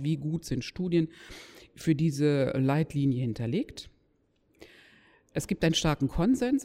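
Mellow lecturer in an echoey hall. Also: medium pitch. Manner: didactic, emphatic word stress, rhetorical questions. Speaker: lecturer in nursing or healthcare. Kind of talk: lecture to an audience, explanatory. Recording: echoey hall with long reverb.

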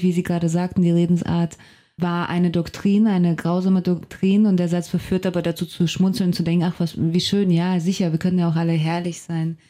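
Woman novelist, native russian speaker, radio studio guest, reflective, mellow warm tone. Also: lowish voice. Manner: thoughtful, reflective, searching for words. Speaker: novelist and translator.

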